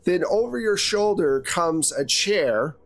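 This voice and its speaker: A man's voice: sits high, expressive, slow. A man improvising narration as game master of a tabletop game, casual and conversational with vivid descriptions.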